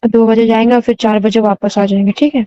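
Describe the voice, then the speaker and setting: A woman's voice: fairly high pitch, level tone, a little fast, dark tone, clear, resonant tone. A young woman chatting casually and intimately on a phone call, coy, often answering with short hums. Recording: dull lo-fi phone call recording, roomy.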